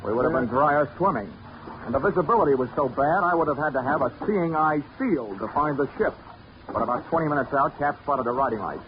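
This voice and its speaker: A man's voice: fairly high pitch, lively intonation, brisk, background noise, close to an everyday voice. Man playing a hard-boiled radio detective, muffled recording. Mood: wry, tense. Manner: dry, clipped, wry. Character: wisecracking, hard-boiled male insurance investigator. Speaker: lead actor and narrator. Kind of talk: 1940s radio detective acting, first-person narration. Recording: dull old radio recording, loud music.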